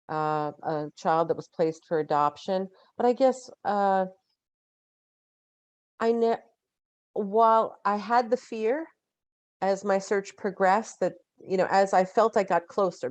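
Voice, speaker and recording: A woman in her fifties, a guest on a podcast interview, talking in an earnest, reflective way and pausing to find her words. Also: on the low side, darkish tone, no room sound. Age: 50s. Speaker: guest, genetic genealogist.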